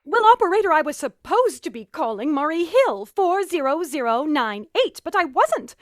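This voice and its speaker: An actress playing a nervous, demanding invalid wife in a suspense radio drama, with an imperious, agitated manner. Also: female voice, sits high, big pitch swings, normal speed, no room sound, clean studio sound. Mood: horrified, frantic.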